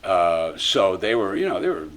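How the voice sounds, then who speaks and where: Low-voiced older man telling stories. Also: sing-song, normal speed, a bit bright. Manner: easygoing storyteller, drops to murmurs and asides. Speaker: guest, former soap actor. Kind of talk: relaxed interview conversation. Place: small studio set with couch.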